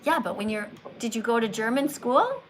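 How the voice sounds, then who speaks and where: A woman's voice: fairly high pitch, big pitch swings, normal speed, noisy background. A woman hosting a live call-in stream, talking in a didactic way with short emphatic statements and probing questions. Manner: probing, presses callers with follow-up questions. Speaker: livestream host. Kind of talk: live call-in chat about veganism.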